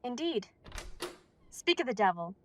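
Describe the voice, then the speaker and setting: A woman playing a polite, high-voiced werewolf receptionist. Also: very animated, unhurried, a little hiss or hum. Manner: polite, businesslike. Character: polite female werewolf council receptionist, brisk.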